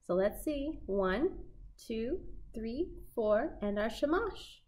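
A young woman hosting a children's storytime, reading a picture book aloud in simple, deliberate phrases for toddlers. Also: fairly high pitch, sing-song, a little mellow. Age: young adult. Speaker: children's library storytime host. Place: small indoor set with fabric backdrop.

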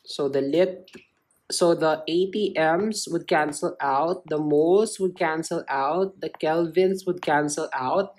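A woman's voice: deep voice, takes it slowly, darkish tone. A woman tutor narrating a step-by-step lesson in simple, explanatory phrasing. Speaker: chemistry tutor.

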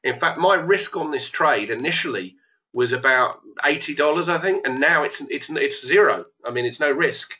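A man's voice: on the high side, lively intonation, moderate pace, sharp tone, muffled recording. A man who is losing his voice a bit, casually talking through live trades as the host of an online trading room. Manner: casual, thinking aloud. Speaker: trading educator.

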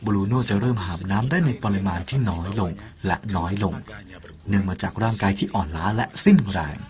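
A man's voice: on the low side, lively intonation, a little fast. A man narrating a parable in an earnest, persuasive way and speaking directly to the listener. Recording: soft music behind the voice.

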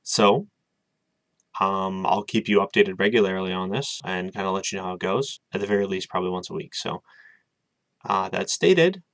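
Young male vlogger, twenties, hesitant and full of ums, very low voice, dry close sound. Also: normal speed, gravelly, a bit bright, dry recording. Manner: rambling, hesitant, many ums. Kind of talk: casual video diary. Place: small room with bookshelves, indoors.

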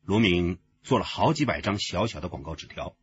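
A man acting in a radio drama as a sharp-minded detective laying out case findings. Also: deep voice, expressive, fairly quick, lo-fi sound. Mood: explaining.

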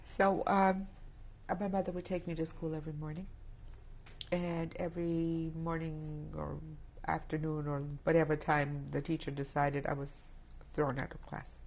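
Woman, sixties, Polish-born, native Polish speaker, raspy low voice, halting testimony, lapel mic, indoor studio. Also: level tone, a little mellow. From Poland. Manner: reflective, halting, with many restarts. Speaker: interviewee. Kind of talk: oral history testimony. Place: indoor studio set with plants.

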